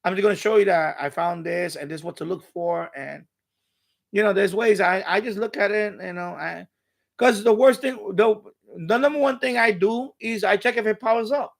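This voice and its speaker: New guy chatting on a livestream, highish creaky voice, rambles and keeps restarting sentences. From New York City, USA. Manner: rambling, repetitive, halting mid-sentence. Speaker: live stream host. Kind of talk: casual unscripted live-stream chat.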